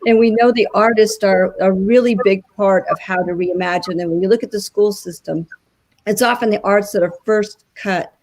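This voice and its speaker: Brief: female co-host, mid pitch with a gravelly edge, earnest and appreciative. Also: normal speed, darkish tone. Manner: earnest, appreciative thank-yous. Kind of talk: live-streamed conversation.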